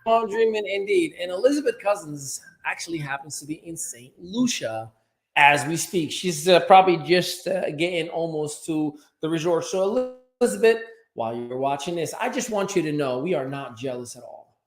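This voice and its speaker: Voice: medium pitch, animated, normal speed, roomy sound. A host of an online talk show, leading a casual chat in an upbeat, playfully teasing way over a video call.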